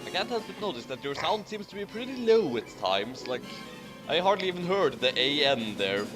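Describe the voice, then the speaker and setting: A man reading fan fiction aloud, halting and repeating garbled phrases. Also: on the high side, big pitch swings, music in the background.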